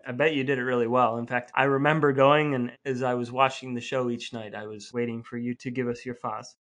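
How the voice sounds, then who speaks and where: A man's voice: medium pitch, flat delivery, fairly quick, fairly bright tone. A man co-hosting a podcast in casual banter, with playfully mock-formal phrasing. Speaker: podcast co-host.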